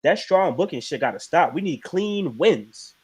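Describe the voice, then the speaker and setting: A man with a slightly high voice rants bluntly and profanely while co-hosting a wrestling podcast. His talk is casual and heated. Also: normal speed, slightly rough. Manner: blunt, profane, animated rant. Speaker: podcast co-host.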